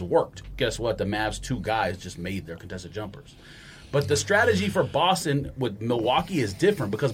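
A man co-hosting a sports podcast, casually breaking down games in conversation, recorded with some background noise. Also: on the high side. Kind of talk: casual sports analysis chat.

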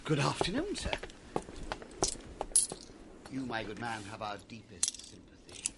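An actor playing a polite, earnest gentleman collecting for charity, formal and courteous, in a radio drama. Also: on the low side, huge pitch swings, unhurried, very noisy, exaggerated voice. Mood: disapproving. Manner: formal, courteous, well-spoken. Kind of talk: theatrical radio drama acting.

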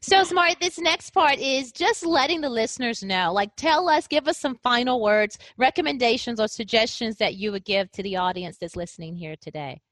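A woman's voice: a little high, moderate pace, hardly any pauses, a bit bright, no echo. A woman podcast host interviewing a guest, enthusiastic and affirming. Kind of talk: interview hosting. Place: lives in Guam.

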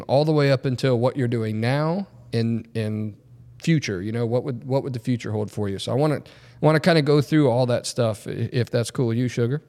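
A male podcast host chatting casually in a studio, his mid-pitched voice trailing off into fillers and restarting sentences. The room rings with a long reverb tail. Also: dark tone. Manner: rambling, many fillers and restarts.

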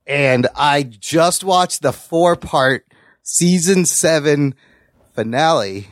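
A man's voice: a little high, animated, slow, dry recording, studio quality. A man co-hosting a casual pop culture chat, talking with enthusiasm and plenty of swearing. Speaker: podcast co-host.

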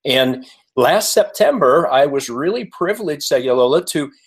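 An American man speaking as a podcast guest in a conversational interview, measured and polite with pauses. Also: on the high side, expressive, slightly rough. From United States. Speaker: podcast guest, author and coach.

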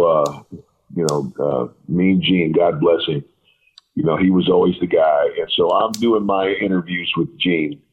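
A man with a very deep, husky voice tells long, rambling stories as an interview guest, full of fillers and quoted dialogue. He comes through a narrow, tinny phone line. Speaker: guest, retired wrestler. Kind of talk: casual storytelling interview.

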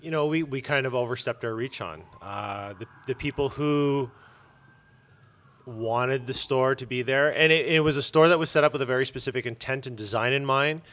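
A man, a shop owner answering interview questions casually, choosing his words carefully with pauses. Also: mid-range voice, fairly bright tone. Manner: measured, careful wording with pauses.

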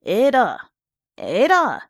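A woman plays a bullying, hot-tempered father from a literary story. He barks fierce, scornful demands in a gravelly voice, recorded dry and close. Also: fairly low pitch, sing-song, normal speed, darkish tone, no room sound. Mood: demanding. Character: bullying, hot-tempered Irish working man, drunken father.

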